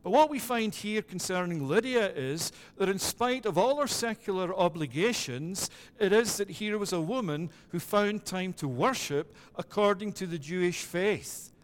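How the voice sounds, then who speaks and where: A middle-aged Scottish pastor delivers a measured, deliberate sermon in a fairly high voice. His words ring out with a long reverb trailing off the walls of the sanctuary. He talks in steady, patterned phrases. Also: a little hiss or hum. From Scotland. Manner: measured preaching with repeated "it is that" phrasing.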